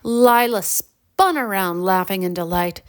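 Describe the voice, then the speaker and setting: A woman narrating a children's bedtime story in an expressive, deliberate storybook manner. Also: mid-range voice, clean tone.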